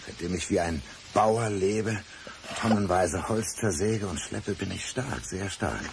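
A man's voice: low voice, measured pace, gravelly, noisy background. An actor playing a brooding, deceitful, despairing man in a radio crime drama, speaking with intense deliberation. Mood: menacing, resigned. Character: brooding, deceitful man feigning blindness, despairing, country-dwelling.

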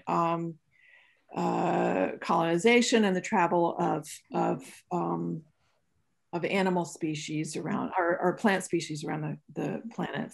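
A woman's voice: fairly low pitch, vocal fry. A woman curator moderating a webinar on a video call, asking questions while searching for her words.

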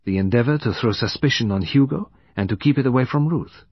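A man's voice: mid-range voice, slightly rough, no echo, lo-fi sound, voice pushed up. Man playing a shrewd, courteous male detective. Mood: methodical explaining. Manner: measured, deliberate, courteous. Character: shrewd, gentle male detective, calmly explaining a crime.

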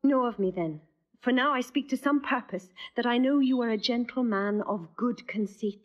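A quick, teasing young noblewoman, played by a woman in a classical stage drama. Her voice sits a little high and plays boldly with the verse. The recording sounds dull and lo-fi. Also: natural voice. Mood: earnest. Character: witty young noblewoman in disguise, playful. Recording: dull lo-fi audio.

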